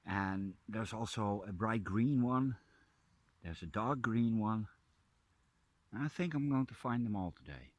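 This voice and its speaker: Dutch guy talking slow and low in the woods, goes hushed close up. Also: expressive. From Netherlands. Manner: soft-spoken, drops to a hushed near-whisper up close. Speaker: nature vlogger. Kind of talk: casual narration while foraging. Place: forest, outdoors.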